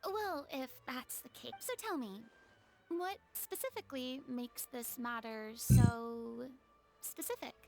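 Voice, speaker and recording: Proud flustered young fallen star from a video game, high pitched, dramatic, bit haughty. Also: female voice, slow pace, over music. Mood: proud, guarded. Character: proud, theatrical young woman, fallen former idol, defensive.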